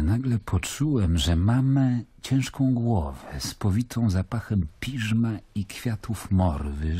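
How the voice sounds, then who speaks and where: An actor playing a worldly, skeptical Roman governor, narrating in a measured, musing, literary way in a radio drama. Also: male voice, fairly low pitch, mellow, slightly rough, no echo.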